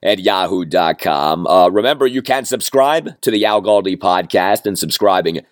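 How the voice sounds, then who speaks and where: A man hosts a sports podcast with a hoarse, worn-down voice from a cold. His voice sits a bit low, and he talks plainly with a wry, self-deprecating streak. The sound is dry and close, with no room around it. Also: lively intonation, moderate pace, dry recording. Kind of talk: solo sports podcast talk.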